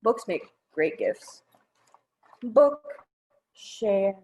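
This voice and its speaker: Slow, very animated woman reading to children. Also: medium pitch. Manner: expressive, simple explanations aimed at young children. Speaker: children's librarian, storytime presenter. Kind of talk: children's storytime read-aloud.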